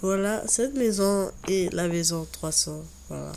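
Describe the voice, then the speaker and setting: A woman with a cold and a stuffy voice, chatting slowly through a building game, often repeating herself. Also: medium pitch, gravelly, a little mellow, slight background noise. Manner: slow, repeats words while building. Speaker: Minecraft YouTuber. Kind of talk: casual gameplay commentary.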